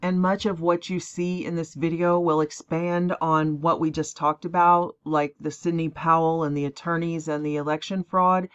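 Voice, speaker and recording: A tired woman rambling emphatically as she introduces a clip, breaking into quick mocking asides. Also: low-pitched, little pitch movement, smooth. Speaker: online prophecy channel host. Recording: dull lo-fi recording.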